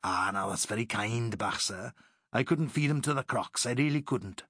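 A man's voice: mid-range voice, lively intonation, moderate pace, fairly bright tone, husky, no room sound, studio quality. A man voicing a loyal, squeamish Welsh soldier with an earthy, colloquial way of talking. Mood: relieved.

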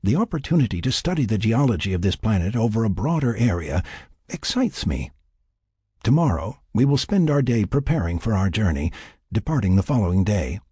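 A man voicing a reflective, formal scientist keeping an expedition journal in an audiobook, in measured, wordy phrases. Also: lowish voice, very animated, mellow, husky, no room sound, clean studio sound, heavily acted. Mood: wistful, resolved. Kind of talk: first-person audiobook narration.

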